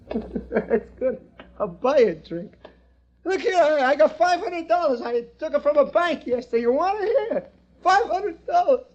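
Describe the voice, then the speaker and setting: A man's voice: extremely high pitch, sing-song, a little slow, a little mellow, heavily acted. A man acting as a drunken, self-loathing derelict with an American accent in an old-time radio drama, slurred, rambling and repeating himself. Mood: pleading, boozy. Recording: dull, lo-fi vintage radio recording.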